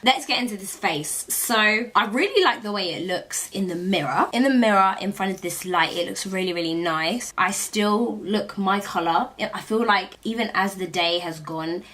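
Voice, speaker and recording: A young British woman reviewing a beauty product to camera late at night, exhausted, her voice deep and chatty with lots of "like" fillers. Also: expressive, normal speed, hardly any pauses, a bit bright. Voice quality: low, deep voice. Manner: chatty, rambling, many "like" fillers. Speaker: beauty vlogger.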